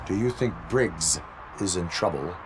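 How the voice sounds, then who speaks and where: An actor playing a stern cyborg police officer who speaks in clipped, formal, emotionless lines. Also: male voice, sits low, expressive, measured pace, background noise. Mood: flat.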